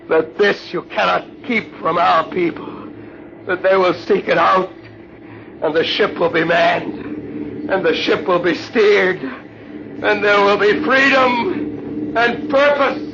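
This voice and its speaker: A man's voice: high-pitched, takes it slowly, vocal fry, pauses often, muffled recording, exaggerated voice. An actor playing an impassioned idealist pleading with his captors in a theatrical, declamatory 1950s radio drama style, on a noisy old recording. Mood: exalted, fervent.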